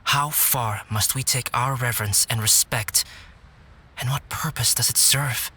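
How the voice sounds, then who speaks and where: A man playing a calm, guarded young alchemist in a video game, speaking in a measured, reflective and articulate way with a touch of melancholy. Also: medium pitch, husky, heavily acted. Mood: pensive, melancholy. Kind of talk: video game cutscene acting, storytelling.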